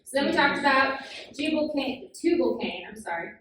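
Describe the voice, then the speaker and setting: A woman leads a children's Bible class, patiently quizzing the kids and filling gaps with "um". Her voice sits slightly high. She is heard from far off in a heavily echoing room with clear background noise. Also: lively intonation, moderate pace. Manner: patient, questioning, frequent "um" fillers. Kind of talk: classroom teaching with questions to children. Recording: very echoey room, far from the mic, noisy.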